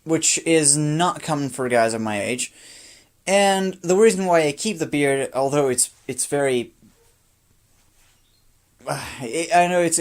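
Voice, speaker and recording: A teenage boy from Brazil, sixteen, speaks English as a second language with faint traces of a Brazilian Portuguese accent. His voice sits a little high for a man. He rambles off the cuff, tired, into a phone camera, repeating and restarting phrases. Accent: light Brazilian Portuguese. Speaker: vlogger. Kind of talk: off-the-cuff personal talk to camera.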